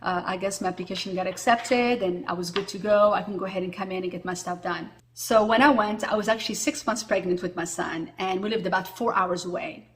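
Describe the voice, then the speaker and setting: A woman's voice: mid-range voice, fairly even intonation, brisk, few pauses, a little hiss or hum. A woman in her forties from Morocco, talking casually to camera as a vlogger, with a lot of filler words. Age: 40s. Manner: chatty, with frequent fillers.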